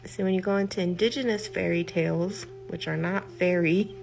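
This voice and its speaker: An older woman, a healer, speaking in a slow, deliberate monologue, stressing her points by repeating them and letting phrases trail off. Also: lowish voice, steady tone, gravelly, rough recording. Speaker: healer giving a talk. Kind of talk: reflective spoken monologue. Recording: music behind the voice.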